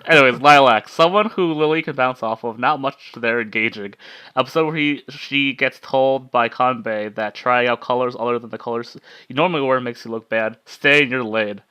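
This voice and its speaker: A man with a forceful, clear voice leads a casual group discussion. His manner is jokey and sweary as he reads out comments, and the sound is close and dry. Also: mid-range voice, moderate pace, no echo, rough recording. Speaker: host leading an anime club discussion.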